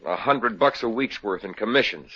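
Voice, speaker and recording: A man's voice: lowish voice, lively intonation, normal speed, bright, forceful tone, slightly rough, exaggerated voice. An actor playing a grumbling, sarcastic young salesman in a 1950s radio comedy. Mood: sulky. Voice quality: sardonic, grumbling. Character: grumpy young salesman boyfriend. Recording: dull lo-fi old radio transcription.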